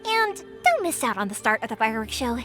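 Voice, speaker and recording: A woman's voice: a little high, fast. A woman voicing an upbeat, talkative, perceptive young fireworks maker in a video game. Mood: playful, kind. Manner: lively, encouraging, talkative. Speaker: video game character actor. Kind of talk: animated anime-style game acting. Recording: music behind the voice.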